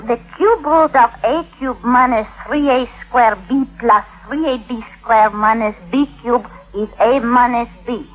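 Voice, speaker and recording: A woman's voice: a little high, a little slow, few pauses. An actress playing an amorous young wife who is coolly contemptuous of her husband, speaking in a coy murmur in 1950s radio drama style. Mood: coy, coaxing. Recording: dull, muffled vintage radio recording.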